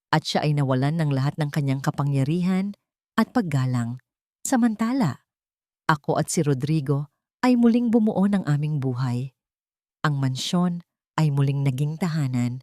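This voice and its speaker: A woman's voice: sits low, expressive, normal speed, long pauses, darkish tone, no room sound, clean studio sound. A woman reading a scripted first-person dramatic story as its narrator.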